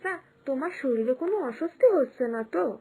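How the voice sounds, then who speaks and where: A woman's voice: high-pitched, expressive, fast talker, mellow. A woman voicing a confident, caring young wife and daughter-in-law in a moral-story cartoon, earnest and persuasive.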